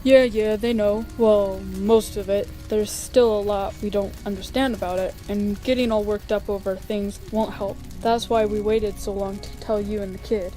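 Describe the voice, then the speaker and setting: A woman's voice: mid-range voice, fairly even intonation, normal speed, few pauses, dark tone, lightly acted. A woman voicing a tired, easygoing male skeleton older brother in a comic dub, speaking casually. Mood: casual, placating. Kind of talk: animated comic dub acting. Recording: music behind the voice.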